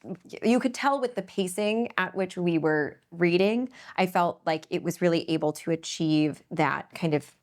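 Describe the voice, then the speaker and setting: A woman hosting a casual panel discussion. Her voice sits a little low, and she speaks thoughtfully, often starting sentences over with repeated words. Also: moderate pace, hardly any pauses. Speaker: host.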